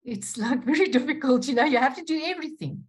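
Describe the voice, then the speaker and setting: A woman speaking as a journalist on a panel over a video call, talking in a halting way with many restarts. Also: sits high, lively intonation, husky. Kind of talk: unscripted panel talk.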